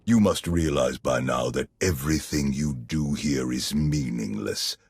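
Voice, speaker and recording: Extremely deep, sneering tyrant spirit. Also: male voice, a little mellow, dry recording, studio quality, full character voice. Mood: gloating. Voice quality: raspy, gravelly. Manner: slow, sneering, imperious. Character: immortal male tyrant spirit, cold, mocking, arrogant. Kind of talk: video game villain acting.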